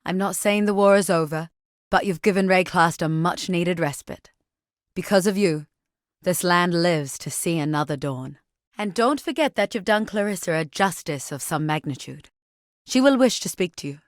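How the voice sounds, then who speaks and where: An actress voices a proud warrior woman from a fantasy video game. Her voice sits on the low side, and she speaks sternly, with measured, formal solemnity. The recording is dry, with no room sound. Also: dry recording, natural voice. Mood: solemn, honoring. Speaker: game character voice. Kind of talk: scripted game dialogue.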